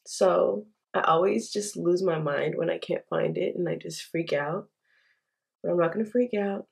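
A woman's voice: medium pitch, creaky, husky. A young woman vlogging to a handheld camera, chatty and rambling, a little congested. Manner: chatty, rambling, addresses viewers as y'all. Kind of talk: casual vlog talk to camera. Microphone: handheld camera at arm's length.

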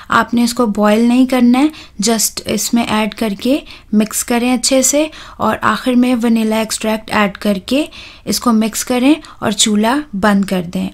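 Calm woman with a higher voice, teaching a recipe. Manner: calm, instructive, with soft "uh" fillers. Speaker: cooking channel host. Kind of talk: step-by-step recipe voice-over.

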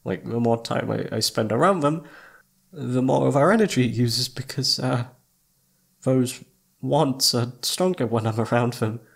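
A man acts out a shy young male incubus roommate in a romantic ASMR roleplay. His voice is husky and creaky, at a middling male pitch. He speaks slowly and haltingly, stammering like someone flustered by his own crush. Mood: nervous, evasive.

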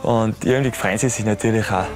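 A young man with an Austrian accent speaks calmly and modestly in an interview, recorded outdoors on a lapel mic. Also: lowish voice, fast talker, faint music behind. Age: young adult. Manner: calm, modest, down-to-earth. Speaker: contestant. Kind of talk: casual interview.

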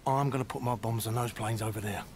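A man's voice: mid-range voice, fairly even intonation, fast talker, a little hiss or hum, close to an everyday voice. A man playing a tough, sardonic, foul-mouthed middle-aged British army officer with a London accent in a video game. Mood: brisk, gruff. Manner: sweary, sardonic, commanding. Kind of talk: video game cutscene acting.

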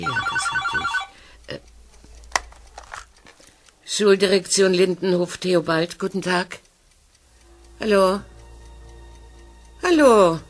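An actress playing a composed, courteous boarding-school headmistress in a children's radio play, formal and measured, hesitant when puzzled. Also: female voice, fairly low pitch, gravelly, heavily acted. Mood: absorbed, then formal, alert. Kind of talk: children's audio drama acting.

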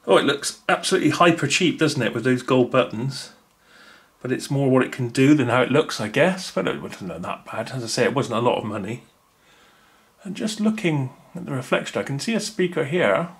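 A man's voice: mid-range voice, lively intonation, a little fast. A man, thinking aloud with many fillers as he unboxes a gadget at a table indoors. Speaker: unboxing video host. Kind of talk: casual unboxing commentary.

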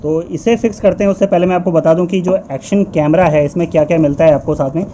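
A man's voice: fairly high pitch, fast, mellow. A young man chatting casually to viewers as he sets up a helmet camera and rides a motorcycle through town. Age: young adult. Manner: chatty, addresses viewers directly. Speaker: motovlogger. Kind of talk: casual vlog narration. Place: indoors at home, then riding a motorcycle on city streets. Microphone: action camera mounted on helmet. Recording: lo-fi camera audio with traffic noise.

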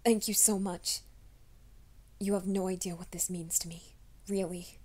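A woman voicing a troubled young man who hides a werewolf nature, in a soft, hushed ASMR roleplay, often whispering. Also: lowish voice, steady tone, a little slow. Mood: anxious whisper. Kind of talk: fan audio drama, ASMR roleplay.

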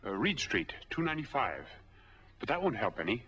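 An American actor playing a cornered, earnest middle-aged drifter in a 1940s film noir, reasoning and pleading. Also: male voice, mid-range voice, very animated, brisk, pushed and bright. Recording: dull 1940s film soundtrack.

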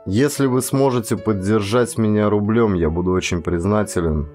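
A man's voice: lowish voice, normal speed, darkish tone, faint music behind. A man who works as an audiobook narrator, speaking as the reader and host of the recording. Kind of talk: literary audiobook narration and channel outro.

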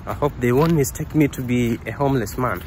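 A man's voice: mid-range voice, brisk, a little mellow. A man from Kenya talking casually into his phone as he walks through town, playful and chatty. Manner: playful, acts out comic scenes. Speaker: vlogger. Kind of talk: casual vlog narration, walking outdoors. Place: city street outdoors, then a laundromat. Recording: street traffic noise behind. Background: passing cars.

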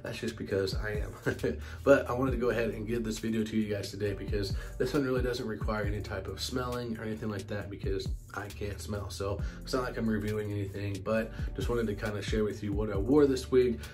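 A man's voice: lowish voice, steady tone, a little fast, darkish tone. A man hosting a fragrance vlog, talking casually with a stuffy, congested nasal voice from a cold. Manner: casual and chatty, many fillers, apologetic. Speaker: fragrance channel host. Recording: music playing clearly behind.

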